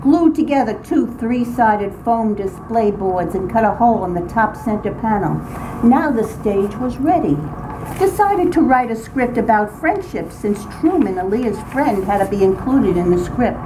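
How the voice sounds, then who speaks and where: An older woman reads her own humorous personal story aloud in an expressive, dramatic way, with emphatic phrasing. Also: a little high, moderate pace. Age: older, grandmother. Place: indoors, writing group meeting room. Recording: very noisy small-group room recording. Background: clear background noise and room ambience.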